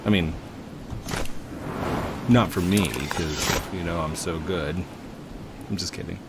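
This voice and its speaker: A man's voice: low-pitched, slow, heavy background noise. A man in his late thirties, rambling and joking at himself while commenting on his gameplay into a headset mic. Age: 30s. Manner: rambling, self-mocking, chatty. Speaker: gaming YouTuber. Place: home room at a desk.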